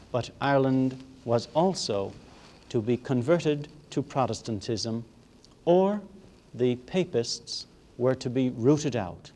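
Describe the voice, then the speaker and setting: A man giving a formal history lecture in a measured, emphatic way, leaning on rhetorical repetition. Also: mid-range voice, a little mellow.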